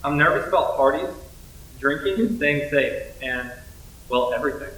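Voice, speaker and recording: A man's voice: on the high side, normal speed, a bit bright. A young man delivering scripted readers theater lines as a student performer, a little distant in an echoing room. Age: young adult. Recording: echoey, distant from the mic.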